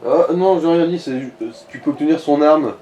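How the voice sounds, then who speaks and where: Mellow-voiced man co-commentating a game. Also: on the high side, fairly quick, distant mic, muffled recording. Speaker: co-commentator helping the player. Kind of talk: casual gaming commentary. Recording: faint background noise.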